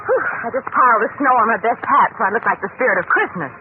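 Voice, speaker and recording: A woman acting as a lively, teasing society lady in 1940s radio drama style. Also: on the high side, fast talker, lightly acted. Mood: bright, chatty. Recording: tinny noisy old radio recording.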